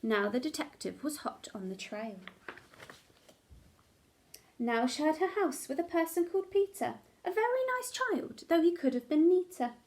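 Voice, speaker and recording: A woman with a high voice reads a picture book aloud as a teacher would to young children. Her delivery is dramatic and expressive, shaped for small listeners. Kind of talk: expressive storybook narration.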